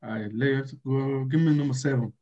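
Male interview guest, informal and slangy, medium pitch, somewhat dull lo-fi audio. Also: normal speed, warm tone. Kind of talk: casual chat.

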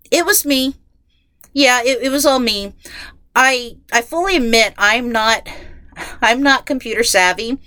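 A young adult woman talks casually into her podcast mic, her voice stuffed up and nasal from a head cold. She sounds bright and sharp, a touch high for a woman, and the sound is close and dead dry from recording inside a closet. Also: no room sound. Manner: chatty, self-deprecating, many restarts. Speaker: podcast host. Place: closet at home.

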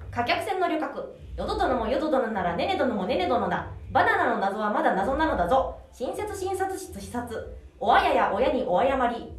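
A woman reciting tongue twisters and chatting casually as a voice-acting show regular. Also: high voice. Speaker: aspiring voice actor and show regular. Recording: echoey room, distant microphone.

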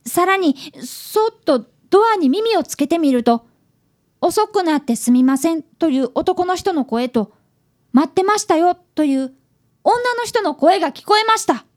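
A woman's voice: very high-pitched, animated, normal speed. A woman playing an earnest, polite schoolboy apprentice detective who acts and narrates in a radio drama. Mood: earnest narrating.

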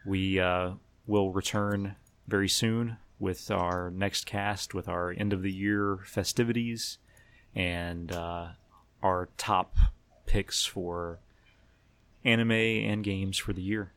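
A man hosting a casual podcast discussion, talking in a somewhat halting, hesitant way. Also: low voice, fairly even intonation, a bit bright, clear, resonant tone. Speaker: podcast host.

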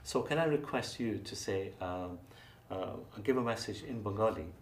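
A man's voice: fairly low pitch, lively intonation, normal speed, far from the mic. A man hosting a community podcast interview, often summing up what the guest says and adding short agreements. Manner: frequent short backchannels, summarizing the guest. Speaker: podcast host. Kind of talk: conversational interview. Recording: echoey room, mic set back, faint noise.

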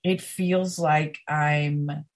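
A woman guest on a podcast, talking slowly, emphatically and intimately, with pauses. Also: low voice, fairly even intonation. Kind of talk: conversational podcast interview.

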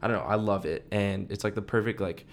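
A man's voice: on the low side, quick pace, creaky, slight background noise. A young man co-hosting a podcast in casual chat, rambling with lots of "like" and "dude". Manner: rambling, heavy use of "like" and "dude". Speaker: podcast co-host.

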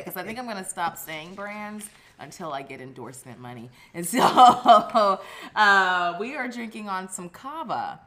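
Bright-voiced bubbly woman podcast host. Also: low voice. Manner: bubbly, laughing, chatty with fillers. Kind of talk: casual podcast chat.

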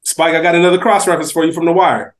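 Fast-talking man with a higher voice. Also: steady tone. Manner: slangy, emphatic, conversational. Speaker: podcast co-host. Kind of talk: casual chat.

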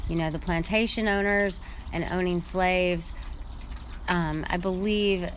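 A woman telling a family story in an earnest, halting way, with many fillers. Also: lowish voice, steady tone, a little hiss or hum. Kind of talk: casual spoken storytelling.

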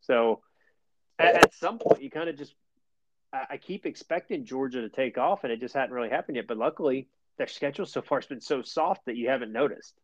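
A man's voice: medium pitch, expressive, fairly quick, dry recording, highs cut off. A man hosting a sports podcast, easygoing and wry as he runs through scores and stats. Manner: easygoing, wry, stat-heavy. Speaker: podcast host. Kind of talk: casual sports chat.

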